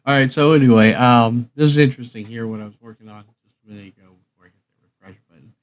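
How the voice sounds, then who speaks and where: A middle-aged man hosting a livestream, chatting casually and thinking aloud in an unhurried, rambling way. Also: mid-range voice, smooth. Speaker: livestream host.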